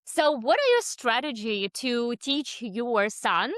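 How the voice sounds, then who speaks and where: A woman co-hosting a podcast in English, a second language to her, with an audible non-native accent and a first language of Ukrainian or Russian. Her voice is bright and somewhat high, and she talks haltingly, searching for words. The sound is dry and close. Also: very animated, no echo. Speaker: podcast co-host. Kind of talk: casual conversation.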